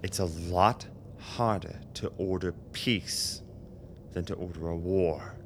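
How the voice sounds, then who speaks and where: A man's voice: sits low, fairly even intonation, takes it slowly. An actor in a radio drama playing a self-doubting political leader, a man who sounds hesitant and agitated. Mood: doubtful, tense. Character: anxious, self-doubting new political chairman, a war hero. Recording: noticeable background noise.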